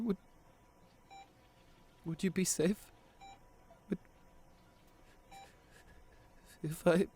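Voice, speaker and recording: Man acting a tender, grieving, halting boyfriend. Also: high-pitched, darkish tone. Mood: tearful, guilt-ridden. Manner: halting, tender, stammering with emotion. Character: devoted, grieving boyfriend visiting his comatose partner in hospital. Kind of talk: intimate ASMR audio roleplay.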